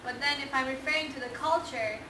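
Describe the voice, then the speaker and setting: A woman in the audience hesitantly works through her question, pausing to search for words. Her voice is high. She sounds distant in a reverberant hall with background noise. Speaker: audience member asking a question. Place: large echoey lecture hall. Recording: echoey hall, far-off, noisy.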